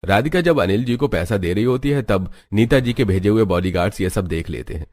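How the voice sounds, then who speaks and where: A man's voice: lowish voice, animated, a little fast, darkish tone. A man narrating a scripted moral story as a storyteller.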